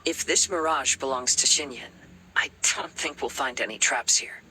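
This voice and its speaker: A man voicing a calm, composed young wanderer in a video game, soft and measured in delivery. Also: medium pitch. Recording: clear game noise behind voice.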